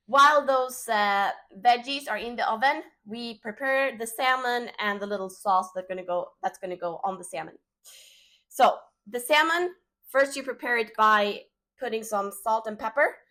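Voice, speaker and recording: A woman with a Swedish accent, a native Swedish speaker, giving a relaxed, chatty cooking demonstration in her home kitchen, with plenty of fillers. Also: mid-range voice. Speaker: cooking show host.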